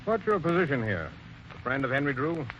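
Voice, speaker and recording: An actor playing a brisk, businesslike police sergeant in a 1940s radio mystery, questioning in a commanding way. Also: male voice, fairly high pitch, sing-song. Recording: dull old radio recording with faint hiss.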